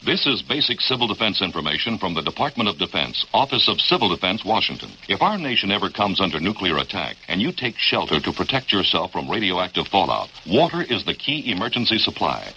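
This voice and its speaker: Low, forceful announcer on dull archival radio. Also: male voice, big pitch swings, brisk, hardly any pauses. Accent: American. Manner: formal, instructive. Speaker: public service announcer. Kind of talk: mid-century scripted civil defense announcement. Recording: dull, faintly noisy archival radio recording.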